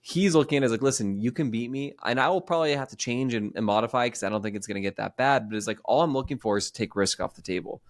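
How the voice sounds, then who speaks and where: A man in his thirties hosting a podcast, chatting casually in a quick, rambling way with many restarts. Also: mid-range voice. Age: 30s. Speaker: podcast host. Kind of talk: casual interview chat.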